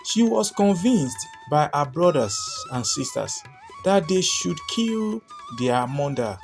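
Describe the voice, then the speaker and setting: A man tells a scripted folktale in a fairly high voice. His pitch moves in very wide, animated swings as he narrates. Music plays faintly behind him. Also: takes it slowly. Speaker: storyteller, narrator.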